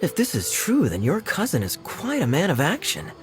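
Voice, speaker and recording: Sly, swooping, suave knight captain. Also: male voice, on the high side, wildly swooping pitch, moderate pace, soft background music. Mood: sly, wry. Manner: smooth, wry, theatrical. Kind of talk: video game cutscene acting.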